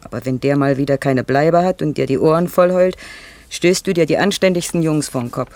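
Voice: mid-range voice, a little fast, a little mellow, no room sound, close to an everyday voice. An actor playing a blunt, scolding parent of a grown daughter in a German radio play, with colloquial, reproachful delivery. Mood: scornful, suspicious.

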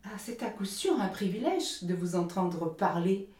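A woman's voice: medium pitch, very animated, a little slow, a little mellow. A woman voicing little Hans, a humble, timid, good-natured man, in a storybook reading. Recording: roomy echo.

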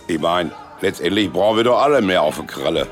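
An actor playing a crude, slow-witted buddy of a politician, rambling colloquially in a satirical radio play. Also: male voice, low-pitched, animated, faint music behind. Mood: musing.

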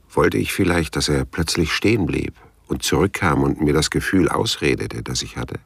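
An actor playing a world-weary, brooding private detective in a radio crime drama, gravelly and laconic. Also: male voice, very deep voice, heavily acted. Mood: wistful narration. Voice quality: gravelly, husky. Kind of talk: hard-boiled radio drama dialogue and narration.

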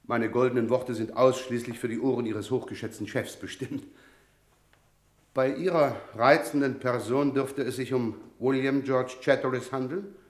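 An actor in a radio play playing a glib, cocky visitor with flowery, breezy talk, recorded in a roomy sound. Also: male voice, medium pitch, steady tone, moderate pace, close to an everyday voice. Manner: flowery, breezy, smooth-talking. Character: glib, cocky male visitor posing as an auditor.

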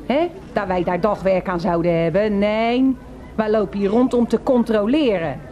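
An actress playing an officious, unbending store detective in a radio play, speaking pompous, long-winded officialese. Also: female voice, mid-range voice, moderate pace, mellow, highs cut off, lightly acted. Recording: radio drama with faint background noise.

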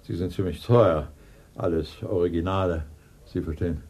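An actor playing an old lady's guarded, nervy companion in a radio mystery, with a gravelly voice and formal, evasive manner. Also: low voice, normal speed, a little mellow. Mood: evasive, polite. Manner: agitated, evasive, smoothly formal. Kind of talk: 1990s German radio mystery play.

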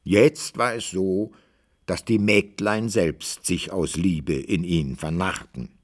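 Slow, low-voiced male storyteller. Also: fairly even intonation. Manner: deliberate, rhetorical. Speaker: narrator of a radio play. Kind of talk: scripted literary storytelling narration.

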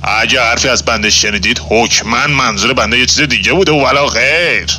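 A man's voice: mid-range voice, pushed and bright, a little hiss or hum. An actor playing a blustering, easily flustered father, a moustached street tough with colloquial talk, in a nostalgic radio drama. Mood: flustered, apologetic. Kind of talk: nostalgic 1960s-set radio drama acting.

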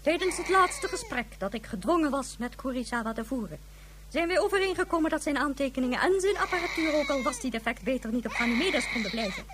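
A woman's voice: high-pitched, expressive, quick pace, background noise. A woman playing a self-righteous president of a colony world in a radio drama, theatrical and doting on her pet. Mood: stern, pompous. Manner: theatrical, cooing then stately.

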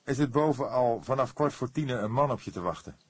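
A man's voice: medium pitch, animated, a little fast, no room sound, voice pushed up. A man voicing a grumbling, reproachful police desk sergeant in an audiobook. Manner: gruff, reproachful.

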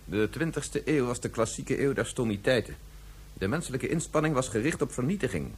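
Animated, idealistic male scientist. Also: medium pitch, brisk, breathy edge. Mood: scornful conviction. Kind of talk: Dutch science fiction radio drama acting.

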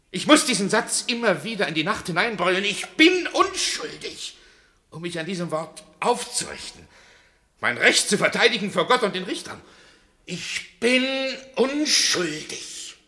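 A man's voice: high-pitched, big pitch swings, measured pace, sharp tone, full character voice. An actor playing a condemned man who pleads his innocence from his cell. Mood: anguished shouting. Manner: impassioned, crying out his innocence. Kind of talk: radio drama acting.

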